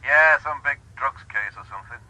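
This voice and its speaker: A man's voice: medium pitch, a little fast, sharp tone, dull audio. An actor playing a blunt, bawdy male police inspector in a radio drama. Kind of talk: 1980s radio drama acting.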